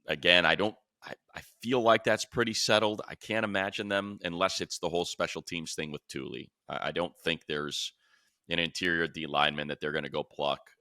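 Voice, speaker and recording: Male sports talk host: extremely low voice with fry, conversational, circling back on his phrasing. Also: fairly even intonation, brisk, bright, forceful tone, dry recording, studio quality.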